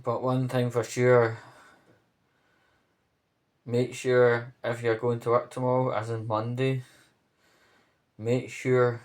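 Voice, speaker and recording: A man giving off-the-cuff spoken commentary on local news, rambling, pausing mid-phrase and checking in with the listener. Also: medium pitch.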